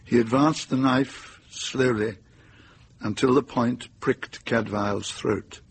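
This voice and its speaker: A man giving scripted literary narration for a radio drama in a measured, atmospheric manner. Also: medium pitch, fairly even intonation, a little hiss or hum, low-quality recording.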